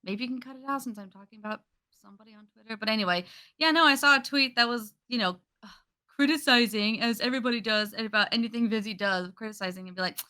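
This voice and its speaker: A woman's voice: on the high side, fast talker, sharp tone. A woman on a panel chatting casually in an informal, wry manner. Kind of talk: casual chat.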